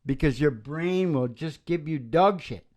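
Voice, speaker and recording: A man's voice: fairly high pitch, unhurried, a little mellow. A man hosting a music show with casual, rambling, irreverent banter, joking and swearing between songs. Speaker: live music show host and singer. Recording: dull lo-fi recording with guitar.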